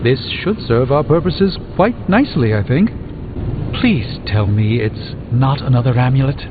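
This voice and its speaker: An actor playing an erudite, dryly witty sorcerer in a video game, with pompous, theatrical, ornate delivery. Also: male voice, mid-range voice, expressive, normal speed, noisy background, lightly acted. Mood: intrigued, appraising. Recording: muffled phone-like band, music behind.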